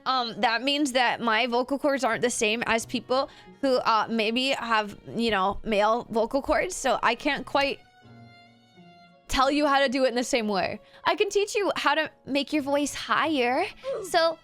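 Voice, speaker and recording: A woman's voice: a little high, a little slow, a bit bright, faint music behind. A young woman streamer, giving a chatty, giggly voice lesson to her chat and swearing casually. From Canada.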